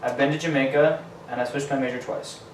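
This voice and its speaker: A man's voice: medium pitch, fast talker, a little hiss or hum. A young man whose first language is Telugu, co-hosting a club podcast in casual, joking chat. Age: young adult. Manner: lively, joking. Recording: echoey room from a distant mic.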